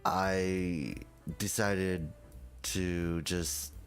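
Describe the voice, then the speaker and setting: A man's voice: low-pitched, steady tone, very slow, soft background music. A young man streamer, stuffy from just waking up, rambling hesitantly through a casual announcement to his viewers. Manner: rambling, hesitant, many fillers and restarts.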